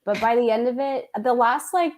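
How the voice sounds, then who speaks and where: High voiced woman just chatting books with friends, panelist, super casual. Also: moderate pace, a little mellow, slight background noise. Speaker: book club panelist. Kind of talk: casual group book chat.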